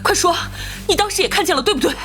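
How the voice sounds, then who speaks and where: An actress playing a desperate, bitter middle-aged mother cornered as a culprit in an animated crime drama, theatrical and pressuring. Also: very high-pitched, racing, faint music behind. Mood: frantic, pressuring.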